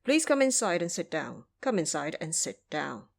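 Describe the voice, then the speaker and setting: A woman's voice: low voice, sing-song, normal speed, vocal fry, pitched below the performer's own voice, exaggerated voice. A temple monk acted by a woman, polite and easily rattled. The manner is courteous yet nervous, in the style of an audio drama set in old legend. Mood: welcoming. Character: courteous but timid, easily frightened male temple monk.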